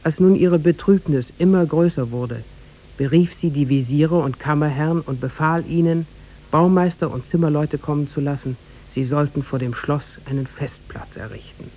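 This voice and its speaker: A man narrating a tale in a 1950s German radio play, formal and measured. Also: on the high side, mellow, close to an everyday voice. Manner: formal, measured storybook delivery.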